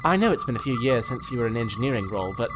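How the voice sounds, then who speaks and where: An actor playing a sly male Starfleet lieutenant engineer, with a smooth, insinuating tone. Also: mid-range voice, animated, rapid-fire, very noisy, lightly acted. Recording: music score behind.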